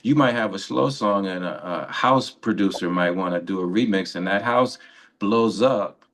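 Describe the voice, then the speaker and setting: Low-ish voiced guy on a radio chat, rambling a bit, giving encouraging advice. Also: moderate pace, fairly bright tone, a little hiss or hum. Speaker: radio co-host.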